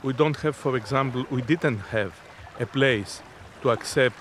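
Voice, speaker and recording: A man in his fifties answering interview questions in a halting, deliberate, unscripted way. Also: medium pitch, fairly bright tone. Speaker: interviewee, innovation zone representative. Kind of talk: spoken interview, unscripted explanation. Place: outdoors on a sea beach. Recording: outdoor seaside background noise.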